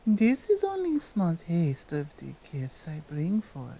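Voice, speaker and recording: A woman voicing a dominant yet tender female Krampus in an ASMR roleplay, with a Nordic accent and halting, deliberate broken English. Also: lowish voice, huge pitch swings, warm tone, heavily acted. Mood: teasing, doting. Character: dominant, tender, seductive female Krampus, otherworldly gift-bringer.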